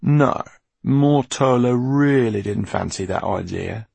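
A man voicing a menacing, gloating henchman with a soft, silky, cat-like voice in an audiobook. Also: mid-range voice, slow pace, creaky, husky, no room sound, voice pushed up, full character voice. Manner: mocking, gloating. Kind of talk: audiobook character acting.

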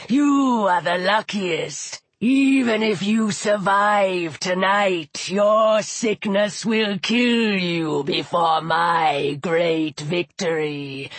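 An ancient male serpent of chaos from a storybook, acted by a woman. The voice drops low and husky and stretches each word very slowly. It is menacing and mocking, like a villain savouring a threat. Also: no room sound. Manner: slow, drawn-out, taunting menace. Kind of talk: audiobook character voice.

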